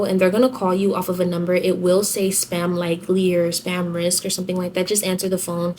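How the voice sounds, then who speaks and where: Low-voiced young woman, chatty vlogger, background noise. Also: darkish tone. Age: young adult. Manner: chatty, girlfriend-to-girlfriend slangy talk. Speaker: vlogger giving application tips. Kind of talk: casual advice vlog.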